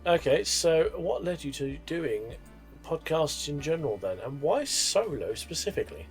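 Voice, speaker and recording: A man's voice: a little high, sing-song, normal speed, vocal fry, soft background music. A man interviewing casually as a podcast host.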